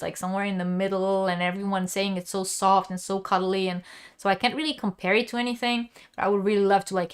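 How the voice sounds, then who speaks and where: Chatty woman with a smooth voice. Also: mid-range voice, fairly bright tone. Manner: rambling and chatty, with frequent restarts. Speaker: crochet livestream host reading viewer comments. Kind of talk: casual livestream chat. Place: lives in western Germany near the Dutch border.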